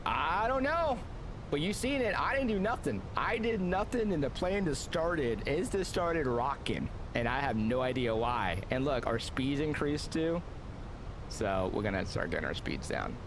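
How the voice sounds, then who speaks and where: Rambling streamer man with lively pitch. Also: unhurried, noisy background. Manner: rambling, filler-heavy, excitable. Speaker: flight sim streamer. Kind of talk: casual gameplay commentary.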